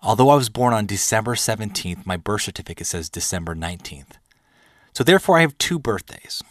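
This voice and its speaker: A man in his thirties hosting a podcast, telling his personal story in an earnest, measured, partly scripted monologue with deliberate pauses. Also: on the low side, expressive, no room sound, clean studio sound. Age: 30s. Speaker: podcast host.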